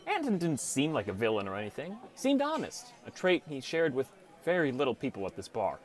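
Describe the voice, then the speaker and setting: Man narrating an audiobook, medium pitch. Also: big pitch swings, a little fast. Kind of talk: first-person scripted story narration.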